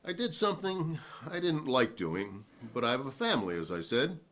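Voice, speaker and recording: Man playing a defiant, animated American hearing witness. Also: medium pitch, moderate pace. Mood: matter-of-fact. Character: defiant, principled male witness at a 1950s hearing. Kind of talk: dramatic reading of a hearing transcript.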